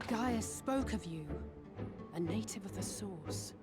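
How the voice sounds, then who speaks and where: A woman's voice: mid-range voice, lively intonation, slow. An actress voicing a composed, dry-witted female mage in a video game. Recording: game audio under music. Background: game music.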